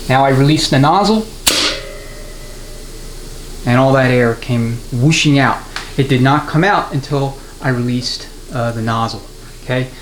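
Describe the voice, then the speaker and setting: Male mechanic-instructor, average pitch, unscripted and methodical step-by-step delivery, recorded in a roomy, noisy workshop. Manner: methodical, plain-spoken, explains step by step. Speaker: transmission mechanic giving a how-to demonstration. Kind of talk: hands-on workshop tutorial, unscripted explanation. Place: indoors at a transmission workbench. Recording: roomy echo, clear background noise.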